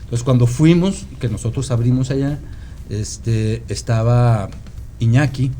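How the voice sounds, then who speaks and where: A Mexican man from Guadalajara talks casually in a relaxed, mellow voice. He tells his story haltingly, circling back and checking in as he goes. A faint noise sits behind him. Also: medium pitch. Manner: halting, meandering storyteller who seeks confirmation with "¿no?". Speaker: podcast guest, rock musician. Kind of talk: casual reminiscing conversation.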